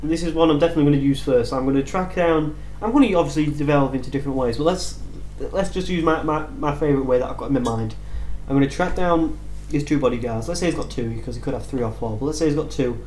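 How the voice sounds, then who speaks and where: A man's voice: on the high side, fast talker, few pauses, darkish tone. A man co-hosting a casual gaming chat show, eagerly laying out his game tactics at length. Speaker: co-host. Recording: echoey room with faint hum.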